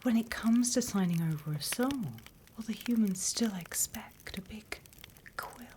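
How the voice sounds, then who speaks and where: A British woman playing a sly demon instructor in a whispered ASMR roleplay, unhurried, wry and smugly scornful of humans. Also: medium pitch, expressive, background noise, voice pushed up, close to an everyday voice. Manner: unhurried, hushed, teacherly, wry.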